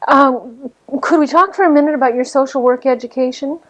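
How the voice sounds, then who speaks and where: Polite woman interviewer, slightly high voice. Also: a little fast, rough recording. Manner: polite, gently probing questions. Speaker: oral history interviewer. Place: interviewee's home.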